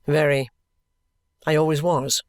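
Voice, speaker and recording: Man reading a composed ex-soldier in a storybook, calm and dry, slightly high voice, close dry sound. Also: measured pace, smooth, dry recording, close to an everyday voice. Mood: wry. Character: composed, wry ex-soldier, middle-aged man. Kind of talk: audiobook reading.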